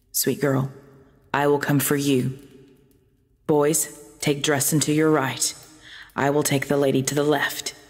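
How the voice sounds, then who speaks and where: A woman playing a commanding, possessive vampire ruler and lover in an ASMR roleplay drama, speaking in a formal, imperious, measured way. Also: very deep voice, a little mellow. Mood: commanding, menacing.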